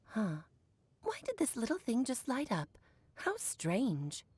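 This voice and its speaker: A woman's voice: on the high side, huge pitch swings, takes it slowly, darkish tone, slightly rough, no room sound, full character voice. An actress playing a playful, eccentric mother witch, coy and grandly formal, in a video game cutscene. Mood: curious.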